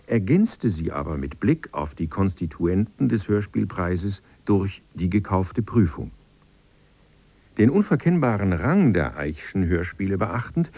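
A man's voice: lowish voice, dark tone, no echo. A man reading a scripted documentary narration as its narrator.

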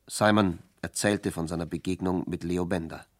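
Deep-voiced male radio drama narrator. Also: fairly even intonation, moderate pace.

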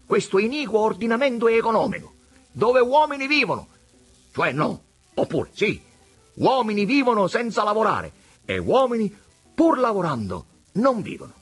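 A man reading aloud and voicing a hot-headed former sacristan turned agitator who makes fervent, loud speeches. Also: high voice, sing-song, moderate pace, slightly rough, faint music behind, voice pushed up, heavily acted.

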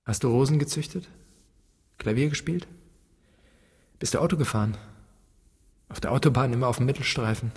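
A man's voice: mid-range voice, fairly quick, long pauses, darkish tone, husky. An actor playing a restless, resentful son who scornfully interrogates his dead father in a literary radio drama. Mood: resentful, questioning. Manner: probing, scornful. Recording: long reverberant hall sound.

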